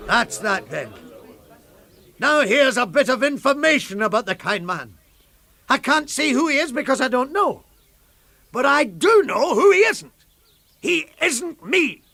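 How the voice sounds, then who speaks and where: A bitter ex-comedian in a radio play, facing down a crowd. His voice rides very high for a man and swings widely. He speaks in theatrical, rhetorical repetitions, with a hard, bright edge. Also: very animated, measured pace, creaky, pauses often, no room sound, exaggerated voice. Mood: bitter, accusing. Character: bitter, defiant former stand-up comic addressing a hostile crowd. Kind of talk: radio drama monologue.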